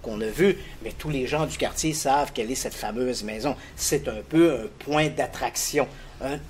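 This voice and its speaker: A man explaining a paranormal case in detail as a guest, lively and quick to laugh. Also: mid-range voice, a little hiss or hum. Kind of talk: casual explanatory storytelling.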